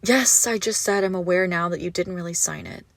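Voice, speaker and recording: A woman's voice: fairly low pitch, brisk, fairly bright tone, no room sound. Woman acting obsessive lovestruck yandere, close and dry. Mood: lovestruck, possessive. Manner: breathy doting coos, often dropping to whispers. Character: obsessive, gushing yandere kidnapper woman, lovestruck and menacingly sweet. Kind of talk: roleplay audio drama, intimate monologue to listener.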